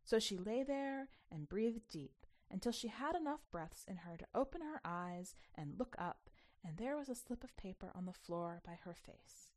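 A woman narrates a short story in a medium-pitched voice. Her delivery is expressive and emphatic, with a sense of childlike wonder in the phrasing. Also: moderate pace, few pauses. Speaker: podcast host reading a short story.

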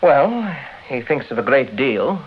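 Polite British police inspector, thin radio sound. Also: male voice, medium pitch, sing-song, slow pace. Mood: matter-of-fact. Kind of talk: vintage BBC radio drama acting. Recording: thin, narrow, hissy old radio recording.

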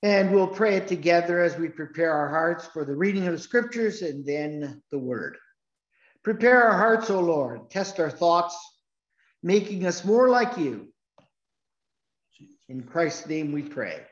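Slow, earnest pastor in a roomy church. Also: male voice, on the high side, animated. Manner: slow, halting, earnest with many restarts. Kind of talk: sermon. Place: church sanctuary. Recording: roomy, dull church recording.

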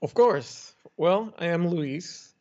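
Young Dominican architect, muffled line. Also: male voice, a little high, lively intonation, slow pace, darkish tone. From Dominican Republic. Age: young adult. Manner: chatty storytelling with frequent restarts and laughs. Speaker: architect guest. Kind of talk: interview conversation. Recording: muffled lo-fi remote audio.

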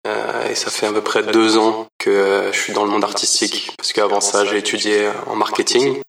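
A man from the Paris region, an artist guest, talking in a relaxed, hesitant way with many pauses. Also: fairly low pitch, creaky, slight background noise. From France, Paris region. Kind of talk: casual interview chat. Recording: echoey room, voice from a distance.

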